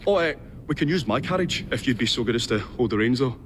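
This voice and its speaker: A fussy Victorian inventor, acted by a man for a video game, with a middling pitch. He is polite but excitable, often stumbling and hesitating over his words. Clear noise sits behind the dialogue. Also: quick pace, close to an everyday voice. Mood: flustered, polite. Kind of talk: dramatic game cutscene acting.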